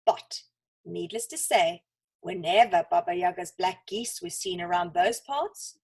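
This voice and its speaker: A woman reads a children's story expressively, with dramatic pauses and deliberate emphasis. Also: on the low side, lively intonation, a little mellow, husky.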